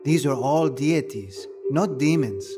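A man's voice: mid-range voice, expressive, takes it slowly. An actor playing an assured, persuasive male occult shop keeper in a radio drama. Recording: loud music behind voice.

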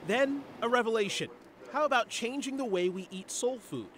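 Male reporter voice-over, measured, high-pitched, faint street hum underneath. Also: fairly bright tone. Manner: measured, storytelling. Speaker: TV news reporter. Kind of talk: scripted news voice-over.

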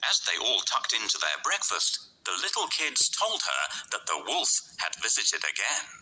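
A man narrating a scripted children's story in an expressive, deliberate way. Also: on the low side, slight background noise, rough recording.

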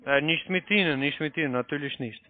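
A man's voice: on the high side, fast talker. A man with a foreign accent talking on the phone in a taunting, insulting manner. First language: not German. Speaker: call centre scam caller. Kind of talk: phone conversation. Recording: tinny dry phone line.

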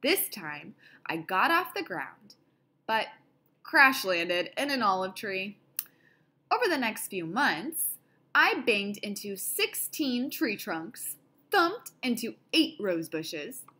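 A woman reading a children's picture book aloud in an expressive style, with deliberate, dramatic phrasing. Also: mid-range voice, breathy edge. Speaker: storybook reader.

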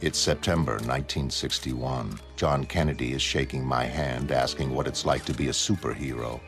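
A melancholy superhuman man with an extremely low voice. He is aloof and measured, stating everything matter-of-factly as in a comic-book narration. Music plays clearly behind him. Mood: detached. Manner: measured, detached, matter-of-fact. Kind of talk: scripted motion comic narration.

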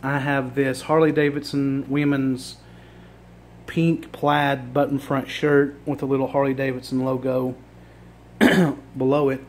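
A man with a Virginia accent, losing his voice and clearing his throat, chatting casually to a phone camera indoors as he shows off thrift finds. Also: mid-range voice, level tone, unhurried, slight background noise. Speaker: thrift reseller vlogger. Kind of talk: casual show-and-tell vlog. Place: indoor room with items on a table. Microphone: phone camera held while filming.

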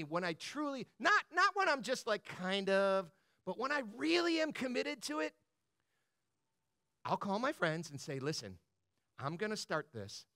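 A man preaching as a pastor in a conversational, motivational style, colloquial and animated, often checking in with the listeners. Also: very high-pitched, dry recording, clean studio sound. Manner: animated, colloquial, punctuates points with "right?" and "bro".

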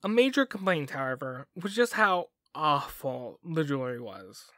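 Guy with a highish voice narrating a history podcast, kinda wry, real dry sound. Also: sing-song, normal speed, bright, forceful tone, no echo. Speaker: podcast host. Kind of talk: scripted documentary narration.

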